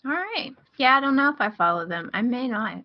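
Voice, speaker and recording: A woman's voice: a little high, very animated, fairly quick. A woman co-hosting a podcast in casual chat, with a dry, self-deprecating sense of humour. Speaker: podcast co-host. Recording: slightly dull podcast audio.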